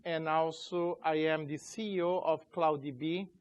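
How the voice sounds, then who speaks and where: Man in his 40s, a bit high-pitched, informal conference presenter. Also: fairly even intonation, clean tone, highs cut off. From Chile, raised in Brazil. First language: Portuguese. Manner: informal, halting, loose grammar. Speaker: conference speaker, company CEO. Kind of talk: tech conference talk. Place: conference room.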